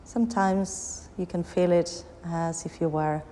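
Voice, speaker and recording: A woman guiding a yoga nidra meditation, giving soothing, unhurried instructions with long pauses between short phrases. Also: lowish voice, fairly even intonation, warm tone. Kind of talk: guided meditation. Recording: long reverberant hall, background noise.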